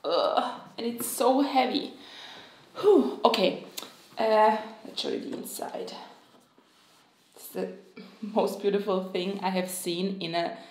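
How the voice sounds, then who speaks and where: A woman in her thirties from Switzerland, Croatian by first language, gushing excitedly through a beauty unboxing to camera at home. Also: mid-range voice, slow pace, pauses often, echoey room. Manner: excitable, gushing, with sighs and small laughs. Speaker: beauty vlogger. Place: home room, indoors.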